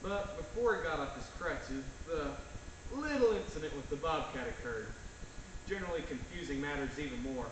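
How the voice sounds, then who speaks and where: A man's voice: fairly high pitch, expressive, normal speed, distant mic. A young man giving a scripted, dramatic story narration as part of a reader's theater performance. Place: large echoey room, school performance. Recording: echoey, noisy stage recording from afar.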